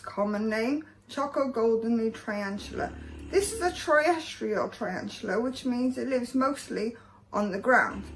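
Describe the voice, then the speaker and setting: A young woman does an earnest guy explaining tarantula care, delivering species facts in a steady, informative run. The voice sits a touch high and carries a faint room hum behind it. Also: rough recording. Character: male tarantula keeper giving a care guide. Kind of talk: parody of a pet-care video. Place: small room lined with spider tubs.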